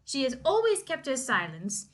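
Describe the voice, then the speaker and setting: A woman co-hosting a casual podcast, chatting with playful giggles and reading a book aloud with lively emphasis. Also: sits high, normal speed.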